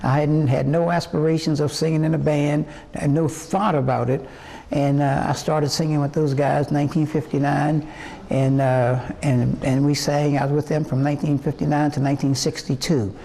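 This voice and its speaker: A man in his eighties from West Virginia, a singer telling his life story in an interview in a halting, rambling way full of fillers and restarts. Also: a little high, flat delivery, gravelly, dark tone, low-quality recording. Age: 80s. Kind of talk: reminiscing interview answers.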